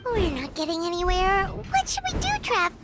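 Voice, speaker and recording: Very high, squeaky little companion. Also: female voice, sing-song, moderate pace, over loud music. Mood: exasperated. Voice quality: squeaky, childlike. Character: small childlike floating companion, chirpy and cheeky. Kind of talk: video game voice acting.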